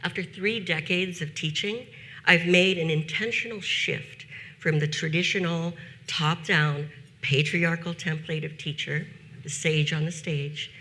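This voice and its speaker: A woman in her fifties, a teacher, giving a prepared talk at a conference with a measured, deliberate delivery and slowed emphasis on key phrases. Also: deep voice, bright, forceful tone, rough recording. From United States.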